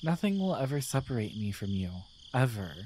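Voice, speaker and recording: A man playing a gentle, devoted guardian angel in a romantic ASMR roleplay, speaking in a tender, hesitant, reassuring way. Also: medium pitch, sing-song, brisk, a little hiss or hum, natural voice. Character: gentle, devoted male guardian angel in love with his human.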